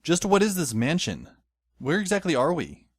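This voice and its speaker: A man's voice: fairly high pitch, wildly swooping pitch, normal speed, breathy edge, no echo, heavily acted. A man playing a wry, weary teenage schoolboy who narrates an audio drama in a dry, sardonic way. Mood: weary, uneasy. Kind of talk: first-person scripted audio drama narration. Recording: music under the voice.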